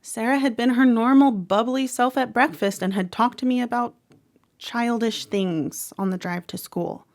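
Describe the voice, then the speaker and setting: A woman playing a troubled, protective teenage girl who narrates a horror audio drama in a measured, dramatic way. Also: a little high, natural voice. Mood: uneasy. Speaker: actor narrating. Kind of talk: first-person horror audio drama monologue.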